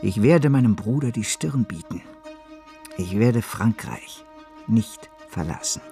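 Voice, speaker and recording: An ambitious financial speculator of old Paris in a radio drama. He talks with grandiose, rhapsodic persuasion, in a middling male pitch, with music faintly behind him. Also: lively intonation, slow pace, vocal fry, warm tone, full character voice. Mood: defiant resolve. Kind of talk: German radio drama acting.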